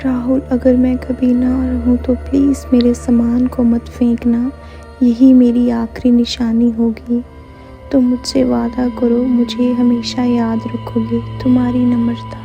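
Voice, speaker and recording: A woman voicing a dead wife's wistful, pleading diary words in an animated horror story. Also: on the high side, takes it slowly, gravelly, soft background music. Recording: muffled lo-fi recording.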